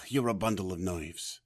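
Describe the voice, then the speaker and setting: An actor playing a blunt, no-nonsense older man, a loyal prodding friend in an audio drama. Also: sits low.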